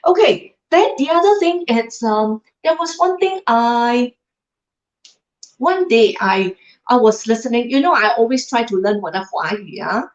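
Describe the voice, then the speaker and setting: Middle-aged cantonese-native lady doing a motivational livestream, kinda high voice, echoey room. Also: lively intonation, unhurried. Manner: addresses viewers directly, tag questions like "huh?" and "lah". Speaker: livestream host. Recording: roomy, slightly dull livestream audio.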